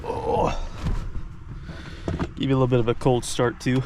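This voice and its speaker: A man's voice: medium pitch, flat delivery, moderate pace, background noise. A man talking casually to the camera as a vlogger, laid-back and swearing now and then, his nose stuffy from allergies. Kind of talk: casual vlog talk to camera.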